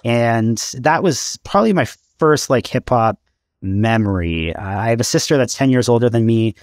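A man in his thirties from Canada, a podcast guest, talking in a thoughtful, halting way with many fillers over a video call with headphones at home. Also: medium pitch, expressive, no echo, clean studio sound. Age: 30s. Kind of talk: casual interview talk. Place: home room with shelves of CDs.

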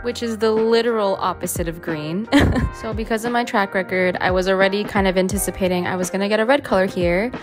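A young woman in her twenties narrating her travel vlog in a chatty, bubbly way, laughing now and then. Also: medium pitch. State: congested. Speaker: vlogger.

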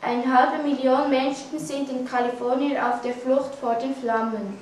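High-voiced young Swiss woman in echoey room. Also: steady tone, darkish tone. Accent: Swiss German. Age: teenager or young adult. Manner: halting, stumbling reading. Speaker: student news reader. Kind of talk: scripted news reading. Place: classroom, indoors. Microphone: camera mic at a distance. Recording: echoey room, distant mic, faint noise.

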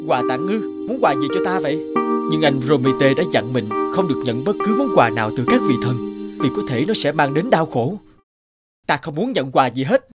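A man's voice: a little high, sing-song, moderate pace, music in the background. A man voicing an earnest, easily charmed young Titan in a fairy-tale cartoon, speaking expressively. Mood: wary, indignant. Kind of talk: animated fairy-tale dubbing.

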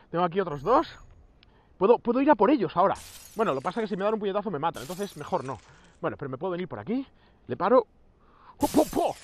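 A man in his thirties with a Spanish accent from Spain, giving excited commentary while playing a virtual reality game outdoors, exclaiming and laughing at his viewers. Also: sits high, a little hiss or hum. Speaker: VR gaming youtuber. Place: outdoors on an empty road at dusk. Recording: music under voice. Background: background music.

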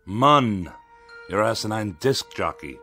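An actor playing a haughty, sarcastic, irascible middle-aged misanthrope in a radio drama. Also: male voice, on the low side, expressive, slow, bright, forceful tone, faint music behind, full character voice. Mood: haughty indignation.

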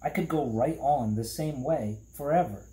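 Storybook boy voiced by a man: earnest, polite, hesitant, pitched up, roomy sound. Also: moderate pace, mellow, lightly acted. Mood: puzzled, earnest.

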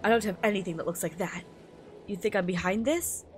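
A woman's voice: mid-range voice, a little fast, lightly acted. A brooding young nobleman from a manga, read aloud by a woman, curt and dismissive. Music plays behind the words. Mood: indignant.

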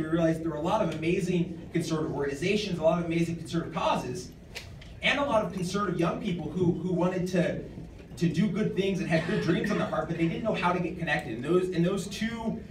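American guy giving an acceptance speech, kinda high voice for a man, stop-start and repeating himself, noisy room. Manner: halting, often repeating words as he goes. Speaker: award recipient and nonprofit director giving an acceptance speech. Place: hotel function room, indoors at a podium. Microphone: podium microphone, captured by a camera in the audience. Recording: distant, echoey room with clear background noise.

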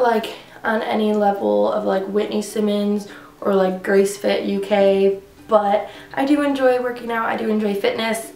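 Congested, chatty young woman with gravelly fry. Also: fairly high pitch. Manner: chatty, rambling with many fillers. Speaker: fitness vlogger. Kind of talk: casual chat to camera. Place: living room at home. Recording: echoey room.

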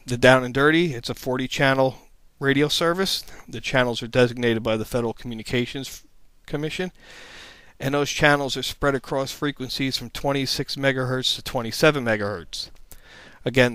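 A man's voice: mid-range voice, normal speed, a bit bright, clear, resonant tone, no echo. A man narrating an informal slide presentation as an instructor, explaining things in a plain-spoken, matter-of-fact way.

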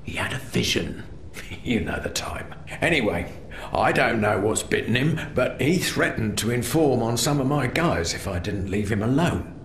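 An actor playing a shady, mocking crime boss in a video game, smug and conspiratorial. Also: male voice, medium pitch, big pitch swings, moderate pace, roomy sound, a little hiss or hum. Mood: menacing, smug. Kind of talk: video game character acting.

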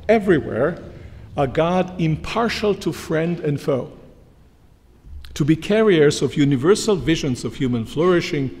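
A middle-aged man giving an academic lecture from a lectern, measured and emphatic. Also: on the high side, darkish tone. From Croatia. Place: large lecture hall, standing at a lectern. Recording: long hall reverb from lectern mic.

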